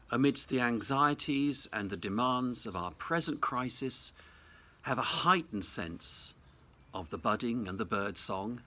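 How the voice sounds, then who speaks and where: A man, a senior Anglican clergyman, delivering a reflective Easter sermon in a measured, deliberate, formal way. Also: medium pitch, bright, forceful tone. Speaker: Anglican cathedral dean giving Easter message. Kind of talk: reflective sermon, prepared address.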